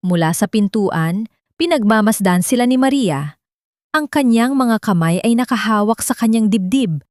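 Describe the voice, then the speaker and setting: A woman's voice: on the high side, animated, normal speed, a little mellow, dry recording, clean studio sound. A woman narrating a dramatic audio love story as scripted storytelling.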